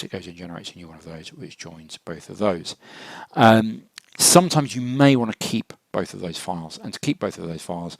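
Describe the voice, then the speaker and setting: A man with a very low, husky voice presents an informal software tutorial. He explains things matter-of-factly with some hesitation, with fry in his tone. The sound is dry and close to the microphone. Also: no echo. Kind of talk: informal software walkthrough narration. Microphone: close computer microphone, screen-recording voice-over.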